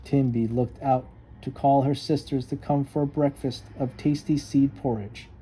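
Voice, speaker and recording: A man reading a children's story aloud with expressive, emphatic narration. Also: medium pitch, measured pace, slightly rough, poor audio. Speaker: storybook reader.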